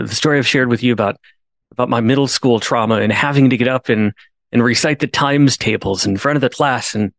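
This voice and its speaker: Male podcast host, mid pitch, earnest storyteller with emphatic rises, dry booth-close sound. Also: dry recording. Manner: earnest, repeats words when restarting, rises to emphatic pleas. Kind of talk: storytelling monologue.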